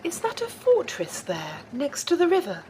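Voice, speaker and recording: A woman playing a calm, earnest, gently stern warrior nun in an audio drama, with a husky voice and measured, formal phrasing. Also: high voice, a little hiss or hum. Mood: curious.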